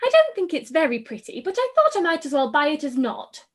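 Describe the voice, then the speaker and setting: A giddy, careless teenage girl, played by a woman in a radio play. She chatters in a high voice that swoops about. Her delivery is lively and sing-song. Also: brisk. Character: giddy, silly youngest sister, teenage girl. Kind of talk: live-read radio play.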